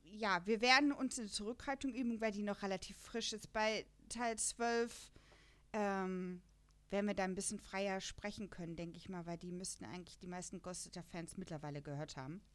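A woman's voice: mid-range voice, fairly even intonation, moderate pace. A young woman co-hosting a casual fan podcast, chatty and laughing often between filler words. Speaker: podcast co-host.

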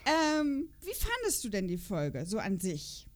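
A woman's voice: medium pitch, wildly swooping pitch, a little slow. A woman co-hosting a podcast, chatting casually about an audio drama in a rambling, self-correcting way. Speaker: podcast co-host.

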